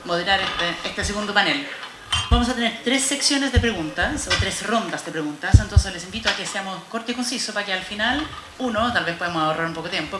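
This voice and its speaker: A woman moderating a conference panel, speaking with frequent hesitations. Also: medium pitch. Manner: hesitant, with fillers. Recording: echoey room with faint noise.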